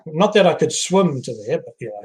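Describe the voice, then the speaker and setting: A man's voice: fairly high pitch, lively intonation, normal speed, darkish tone. A man hosting a casual video-call interview, chatting and asking short, friendly questions. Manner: chatty, asks short questions, laughs easily. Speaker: host.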